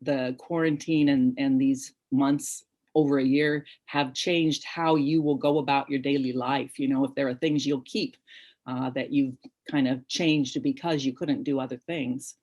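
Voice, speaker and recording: Female event host, low-pitched voice. Informal, appreciative interviewer on a video call. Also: steady tone, a little slow, darkish tone.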